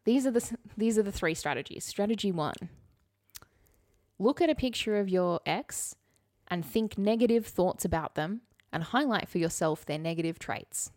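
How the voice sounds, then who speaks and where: A woman's voice: medium pitch, lively intonation, normal speed, darkish tone. A woman co-hosting a podcast, chatting casually and summarising articles in an informal, explanatory way with wry asides. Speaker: podcast co-host.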